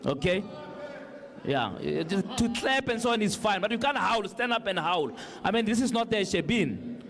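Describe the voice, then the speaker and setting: A South African man chairs a town hall discussion in a fairly high voice. He is blunt and schoolmasterly, often restating his point as he goes. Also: expressive, normal speed, fairly bright tone. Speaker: moderator. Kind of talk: moderating a town hall panel.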